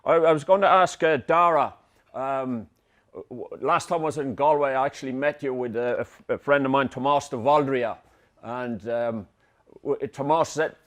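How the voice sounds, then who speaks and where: A man's voice: medium pitch, normal speed, a bit bright. A man interviewing filmmakers, asking questions in a hesitant, stumbling way. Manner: hesitant, stumbling over words.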